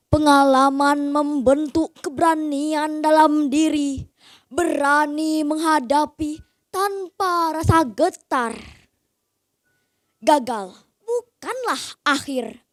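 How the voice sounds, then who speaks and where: A woman recites poetry in a high voice at a very slow pace. Her delivery is solemn and declamatory, with dramatic pauses and a dry, close sound. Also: dry recording.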